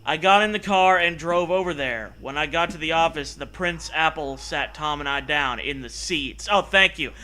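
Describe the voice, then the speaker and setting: A high-voiced man with a very sharp, forceful tone reads a story aloud for comedy. He breaks off often to riff on it, sarcastic, profane and exclamatory. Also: normal speed, few pauses. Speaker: comedy show host reading a story aloud. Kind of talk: mocking read-aloud with casual riffing.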